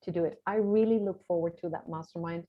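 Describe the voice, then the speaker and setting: A woman's voice: on the low side, fast talker. Encouraging woman on a muffled call. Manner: upbeat, encouraging. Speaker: guest, visibility strategist. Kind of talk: interview, coaching advice.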